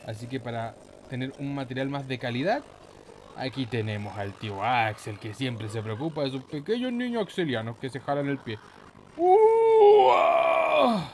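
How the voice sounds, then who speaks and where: A man with a Chilean Spanish accent giving casual, excitable let's play commentary in a playful, slangy, exclamatory manner. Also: mid-range voice, a little slow, a little hiss or hum. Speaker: gaming YouTuber, host.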